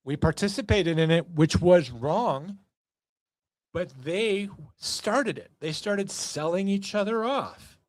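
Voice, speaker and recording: American man, political podcast host, slightly high voice, sarcastic and profane, very dry sound. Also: takes it slowly, no echo, low-quality recording. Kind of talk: opinionated political monologue.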